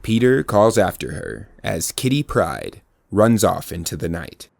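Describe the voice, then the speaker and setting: A man as a dramatic storyteller narrating a superhero audio drama. His low, gravelly voice rises and falls with lively intonation. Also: measured pace, no room sound, clean studio sound, full character voice.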